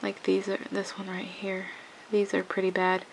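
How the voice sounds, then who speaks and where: A woman's voice: mid-range voice, completely flat delivery, moderate pace, creaky, a little hiss or hum, low-quality recording. A woman with a cold talks through a hands-on craft tutorial at home, sniffling and rambling with many restarts. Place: home craft table, indoors.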